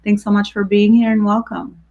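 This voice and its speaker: A woman's voice: medium pitch, little pitch movement, fairly quick, a little mellow, dull audio. A woman hosting an interview over a video call, talking in an effusive, rambling way. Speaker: host. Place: home room.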